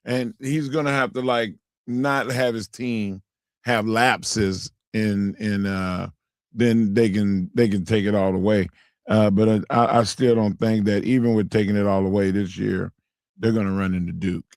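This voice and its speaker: A man co-hosting sports talk radio with a hoarse voice, speaking in halting bursts broken by fillers and restarts. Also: lowish voice, steady tone, a little mellow, dry recording, studio quality. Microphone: studio broadcast mic.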